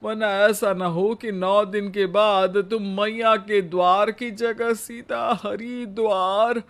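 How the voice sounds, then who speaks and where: A man acts out an old henpecked husband in a comic cartoon tale. His voice is very high for a man, and he talks slowly. He is wry and sarcastic, forever needling with mock pleading. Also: little pitch movement, fairly bright tone, smooth, heavily acted. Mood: teasing. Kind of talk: comic animated moral story acting.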